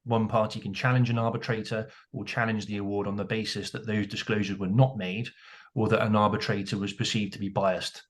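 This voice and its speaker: A man's voice: lowish voice, level tone, fairly quick. Methodical man listing key points. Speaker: arbitration lawyer panelist. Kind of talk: webinar panel discussion.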